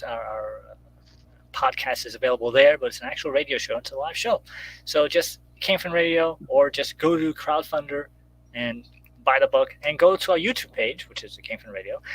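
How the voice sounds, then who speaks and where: Casual, halting man promoting his project. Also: mid-range voice, fairly bright tone, rough recording. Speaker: guest comic creator promoting crowdfunded book and radio show. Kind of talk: casual promotional talk on a live stream.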